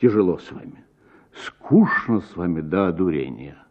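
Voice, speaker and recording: An actor playing an irritated, blunt gentleman in a radio story, scolding in a curt, exasperated manner. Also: sits low, very animated, moderate pace, dull audio. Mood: angry scolding.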